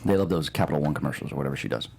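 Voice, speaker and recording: A man hosting a relaxed quiz on a podcast, joking and rambling with lots of filler words. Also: a bass voice, very fast, creaky, breathy edge. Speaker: host running a movie title quiz. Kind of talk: casual podcast banter.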